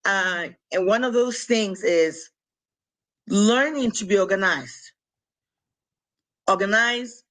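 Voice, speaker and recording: A woman's voice: medium pitch, fairly bright tone. A woman from Cameroon with a Cameroonian accent, a guest on a podcast, talking earnestly and reflectively with small hesitations. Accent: Cameroonian English. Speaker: podcast guest, nurse and author. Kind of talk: casual conversational interview.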